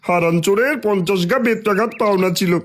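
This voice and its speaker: Casting: male actor for smug, scheming village gossip, high-pitched, cartoon folk tale. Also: quick pace, natural voice. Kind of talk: Bengali fairy tale cartoon dialogue.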